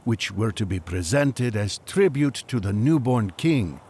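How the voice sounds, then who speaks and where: An actor playing an earnest, courteous ancient magus on a quest, speaking formally in an audio drama. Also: male voice, on the low side, expressive, measured pace, a little mellow, a little hiss or hum.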